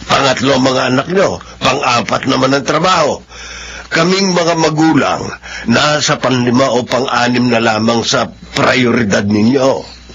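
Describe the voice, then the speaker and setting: Slow-spoken, wise Filipino father. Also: mid-range voice, a bit bright, highs cut off, slight background noise. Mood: calm, advising. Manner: deliberate, counselling. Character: wise, patient older father giving measured advice. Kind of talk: Filipino radio drama acting.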